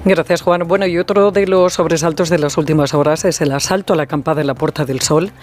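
A man's voice: on the high side, fairly even intonation, quick pace, soft background music. A man with a Castilian Spanish accent anchoring a news programme. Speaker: radio news presenter. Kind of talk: news presenting.

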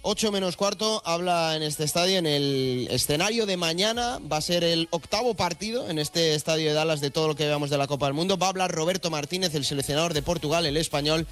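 A man reports live for sports radio with an accent from Spain. His voice is somewhat high, and he repeats words as he improvises. Faint music plays behind him. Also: fast talker, hardly any pauses, a bit bright.

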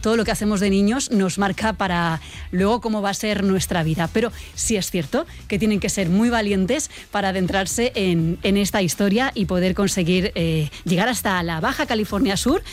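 A woman from Spain hosting a live radio show, chatting lively and laughing easily with callers. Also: mid-range voice, moderate pace. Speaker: radio host.

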